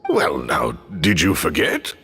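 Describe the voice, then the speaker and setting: Gravelly, courteous gentleman attendant. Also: very deep voice, wildly swooping pitch, heavily acted. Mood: politely reassuring. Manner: polite, measured, formal. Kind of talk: dramatic video game voice acting. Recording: reverberant hall, music underneath.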